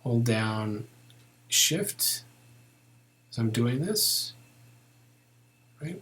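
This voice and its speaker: A man giving a casual step-by-step software tutorial, thinking aloud informally as he works. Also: medium pitch, lively intonation, drawn-out words.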